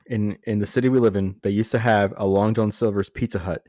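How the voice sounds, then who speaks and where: A man's voice: on the low side, fairly even intonation, fairly quick, a little mellow, dry recording, studio quality. An American man co-hosting a podcast in casual comedic chat, ranting with mock exasperation. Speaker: podcast co-host.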